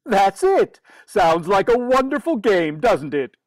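A woman acts as a long-suffering daddy in old-time radio comedy. The delivery is slow, with big swings in pitch and a close, dry sound. The tone is firm and wry. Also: big pitch swings, dry recording. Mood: exasperated, coaxing. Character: exasperated, firm father of a mischievous girl. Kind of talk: 1940s radio comedy acting.

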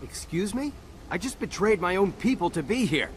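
A wisecracking soldier in a video game. His voice is a little high, casual and wry, with faint noise behind it. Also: very animated, moderate pace. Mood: indignant. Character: wisecracking human soldier turncoat in alien body. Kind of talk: video game cutscene acting.

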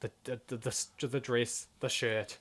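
A man's voice: mid-range voice, level tone, moderate pace, a bit bright. A man hosting a comic dub with casual, slangy, exclamatory reaction commentary. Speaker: host of a comic dub channel.

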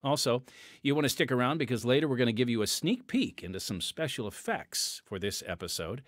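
A man's voice: mid-range voice, sing-song, fairly quick, no echo, clean studio sound. A man hosting a podcast, talking conversationally with fillers before introducing the episode. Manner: conversational, with fillers and dramatic shifts. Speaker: podcast host. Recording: music bed under the voice.

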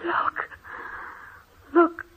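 An actress playing an anxious, frightened wife, urgent and melodramatic, in an old-time radio mystery. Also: female voice, very slow. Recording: tinny old radio recording, faint noise.

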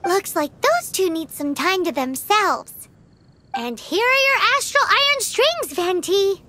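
Squeaky, very high little fairy girl. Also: animated, a little slow, bright, forceful tone, heavily acted. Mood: satisfied. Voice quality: squeaky, childlike. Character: tiny floating fairy girl companion, chirpy and bossy. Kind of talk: video game character dialogue. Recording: game music behind the voice.

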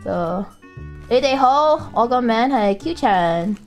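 Woman streamer, lively teasing chat, slow delivery, light music behind. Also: a little high. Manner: laughs a lot, teasing. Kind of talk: casual gaming chat.

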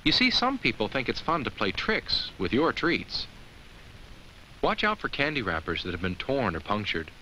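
An actor playing a kindly, earnest talking jack-o'-lantern who narrates a children's safety film with simple, reassuring explanations. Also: male voice, mid-range voice, huge pitch swings, normal speed, sharp tone, slight background noise, low-quality recording. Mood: cautioning.

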